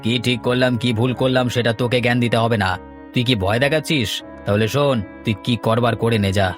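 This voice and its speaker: A man's voice: mid-range voice, fairly even intonation, a little fast, faint music behind, natural voice. A man voicing a bullying young rival in love, contemptuous and confrontational, in a cartoon. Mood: scornful, aggressive. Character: brash, bullying young male romantic rival. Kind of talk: melodramatic cartoon acting.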